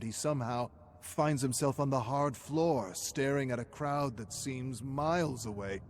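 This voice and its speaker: Male story narrator, noise behind him. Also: mid-range voice. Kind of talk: dramatic scripted story narration.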